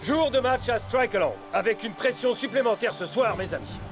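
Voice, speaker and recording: Very high-pitched cartoon match commentator. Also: male voice, expressive, moderate pace, soft background music. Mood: eager, dramatic. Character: excitable male football match commentator.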